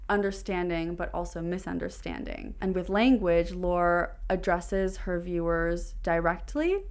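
A woman's voice: fairly low pitch, smooth. A woman gallery representative being interviewed, giving articulate, prepared explanations about art.

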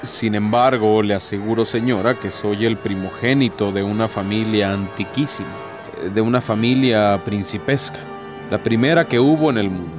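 An actor playing an ancient, courtly Devil in a radio drama, a sly and despairing tempter who speaks formally and insinuatingly. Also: male voice, fairly low pitch, moderate pace, close to an everyday voice. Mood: courtly, boastful. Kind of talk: theatrical radio drama acting. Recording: music behind the voice.

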